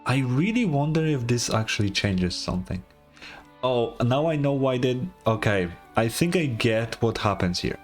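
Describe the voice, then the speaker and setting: A man's voice: mid-range voice, lively intonation, moderate pace. A man doing casual live reaction commentary as a game streamer, blunt and sarcastic. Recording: game music behind the voice.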